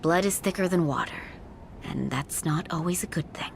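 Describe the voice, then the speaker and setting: An actress playing a grave, fatalistic middle-aged mother in a video game, with a solemn, resigned, deadpan manner. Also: low-pitched, normal speed, creaky, slight background noise. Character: grave, fatalistic mother, a seer who hears voices. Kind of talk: video game cutscene dialogue.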